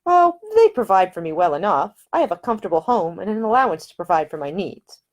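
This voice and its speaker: A woman voicing a spirited, independent young woman perfumer, talking in a brisk, earnest and self-assured way in audiobook dialogue. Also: mid-range voice, very animated, close to an everyday voice. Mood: earnest, determined.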